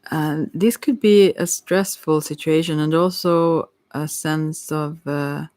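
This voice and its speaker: An ASMR tarot reader improvising a reading, hesitant and often pausing to search for words. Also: medium pitch, fairly even intonation, a little mellow, no echo. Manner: hesitant, searching for words, with long thoughtful pauses. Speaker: tarot reader hosting a live session.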